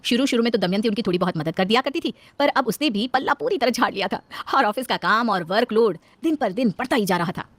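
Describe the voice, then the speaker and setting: A woman storyteller narrates a household tale in scripted style. Her voice is fairly high and moves quickly, with a lively rise and fall. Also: no echo.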